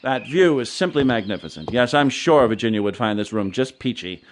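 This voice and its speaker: An actor playing a breezy, sceptical American family man in an audiobook drama, brisk and folksy, with an American accent. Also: medium pitch, animated, slight background noise, close to an everyday voice. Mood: hearty, boastful. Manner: brisk, folksy, unimpressed, hearty. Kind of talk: audiobook radio-play acting. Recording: faint music under the voice.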